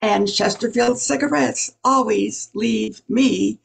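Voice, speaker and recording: Woman playing a husky, creaky ad customer. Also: fairly high pitch, takes it slowly, heavily acted. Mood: scornful testimonial. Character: scornful satisfied female cigarette customer. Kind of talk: old-time radio commercial acting.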